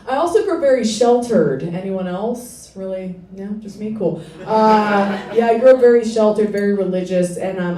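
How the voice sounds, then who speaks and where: A young woman with a deep voice doing a self-deprecating stand-up set, with hesitant fillers and dry delivery. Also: mellow, a little hiss or hum. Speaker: stand-up comedian. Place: small comedy club stage with laughing audience. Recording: echoey club, audience laughter, from a distance.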